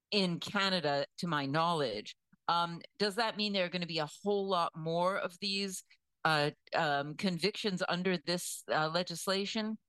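A woman giving conversational news commentary in a measured, deliberate way, weighing her words as she goes. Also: lowish voice, a bit bright, no echo.

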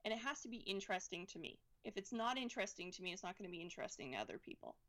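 A woman's voice: mid-range voice, big pitch swings, rapid-fire, fairly bright tone. A woman from Alberta with a Canadian accent, answering interview questions about her political videos with hedging and frequent restarts. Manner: hedging, self-deprecating, many restarts. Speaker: political video creator, guest.

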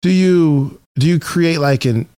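A man's voice: on the high side, unhurried, warm tone, no echo. A man interviewing casually on a podcast, informal and attentive, asking questions and summing up what he hears.

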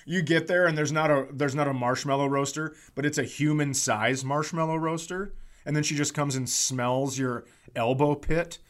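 A man with a slightly high voice tells casual, comedic stories as a podcast host. He rants with comic exaggeration and swears freely. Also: fairly even intonation, moderate pace. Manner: profane, exaggerated ranting.

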